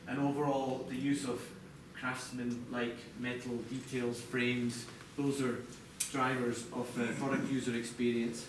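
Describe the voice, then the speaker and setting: A man presenting product design live to a group, explaining in a halting way with corporate phrasing. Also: medium pitch, flat delivery. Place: large echoey indoor venue, apparently a hotel. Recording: echoey noisy room, distant mic.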